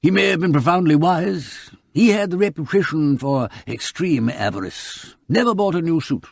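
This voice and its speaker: A man's voice: on the high side, lively intonation, moderate pace, dry recording, clean studio sound, voice pushed up. Man playing a fussy elderly English solicitor. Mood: musing, wistful. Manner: hesitant, precise, throat-clearing, formal. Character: courteous, fussy, old-fashioned elderly male solicitor.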